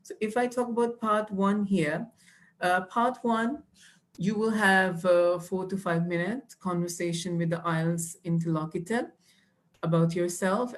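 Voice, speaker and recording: A young woman, teaching exam tips patiently with many fillers and restarts. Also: on the low side. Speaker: IELTS trainer. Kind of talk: live online teaching.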